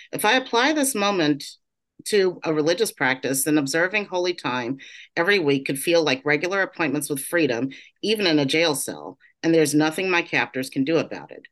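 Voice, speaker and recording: A middle-aged woman shares a casual reflection in a class discussion. Her voice is gravelly with a cold, and she rambles conversationally, leaning on "like" and loose trailing phrases. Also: sits low, fairly bright tone. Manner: rambling, with frequent "like" and trailing "and everything". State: has a cold. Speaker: class participant.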